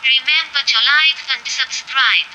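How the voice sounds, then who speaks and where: A synthetic woman's voice making an announcement, played through a drone loudspeaker. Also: high voice, measured pace, low-quality recording. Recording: piercing small loudspeaker, faint noise.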